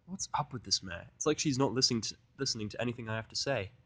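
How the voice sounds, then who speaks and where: A man's voice: medium pitch, animated, fast talker, slightly rough, no echo. Man narrating a story, very dry sound. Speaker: fan fiction reader and narrator. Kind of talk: scripted first-person story narration.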